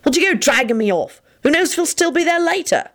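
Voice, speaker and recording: A woman's voice: lowish voice, animated, a little fast, natural voice. Lady doing a nerdy earnest young guy in a story, exasperated, kinda impatient, very up n down. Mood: aggrieved. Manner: weary, pleading, impatient. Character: bookish, earnest, easily exasperated young man.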